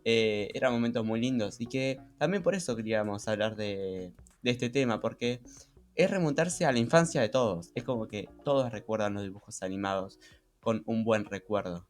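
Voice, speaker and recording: Lowish-voiced Rioplatense man chatting on a podcast. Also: normal speed. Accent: Rioplatense Spanish. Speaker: podcast co-host. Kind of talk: casual podcast chat. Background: background music.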